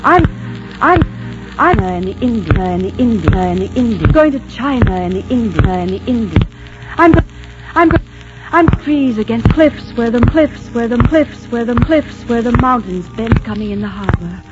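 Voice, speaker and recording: A woman's voice: a little high, animated, moderate pace, lightly acted. An actress playing a headstrong, passionate young woman in a melodramatic 1940s radio romance. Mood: defiant. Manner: melodramatic, impassioned. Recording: dull 1940s radio recording, loud music.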